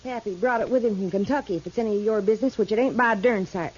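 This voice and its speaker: A woman's voice: medium pitch, very fast, husky, lightly acted. An actress playing a stubborn, sassy young mountain girl with an American Southern mountain accent in a 1950s radio western. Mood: defiant. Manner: blunt, sassy, backwoods. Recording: muffled vintage radio recording.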